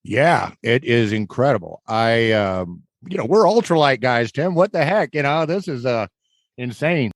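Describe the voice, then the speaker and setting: A man's voice: mid-range voice, expressive, measured pace, dry recording, studio quality. A man hosting a podcast in a casual, chatty style. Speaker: podcast host. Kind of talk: casual chat.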